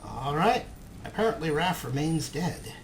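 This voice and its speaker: Animated man doing game commentary, higher-pitched. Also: measured pace, a little hiss or hum. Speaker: let's play host. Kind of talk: gameplay commentary.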